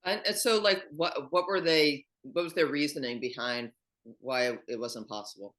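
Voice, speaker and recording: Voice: mid-range voice, normal speed, a bit bright. An interviewer asking a follow-up question, restarting the phrase a few times. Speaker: interviewer, host. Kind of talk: casual interview conversation.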